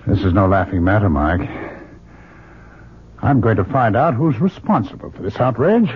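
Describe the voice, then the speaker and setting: A man's voice: on the low side, lively intonation, normal speed, a little hiss or hum, lightly acted. An actor playing a stern, worried older father and doctor in a radio drama, earnest, formal and insistent. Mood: indignant. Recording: dull, lo-fi old radio recording.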